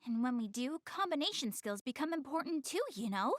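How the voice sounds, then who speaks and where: A woman's voice: high-pitched, lively intonation, a little fast, no room sound, studio quality. A woman playing a proud, clumsy, secretly caring anime girl in a video game, chatty, defensive and easily flustered. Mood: flustered, secretive. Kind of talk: English-dubbed anime video game cutscene acting.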